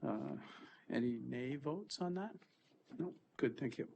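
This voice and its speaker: A man's voice: medium pitch, lively intonation, unhurried, gravelly, dark tone, slight background noise. A man with a cold, sounding a little stuffy, chairing a formal committee meeting and moving through agenda items. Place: board meeting room.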